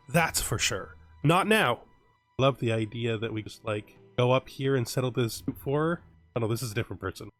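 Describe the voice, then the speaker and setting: A man with a somewhat high voice hosts a roleplay let's play of a strategy game. He moves between dramatic readings of the game's text and loose, casual remarks to the audience. Faint music plays underneath.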